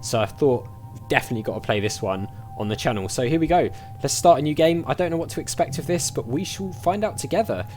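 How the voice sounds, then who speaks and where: A man's voice: a little high, animated, fast talker, darkish tone, faint music behind. A man hosting a gaming video, giving casual let's play commentary and reading dialogue options aloud.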